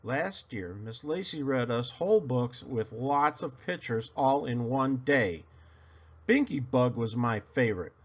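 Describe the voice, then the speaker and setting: Man, children's read-aloud narration, expressive, slow pace, slightly dull recording. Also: mid-range voice. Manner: expressive storytelling. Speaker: picture book reader.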